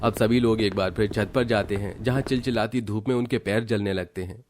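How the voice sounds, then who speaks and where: A man reading scripted narration for an animated story. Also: on the low side, fairly quick, soft background music.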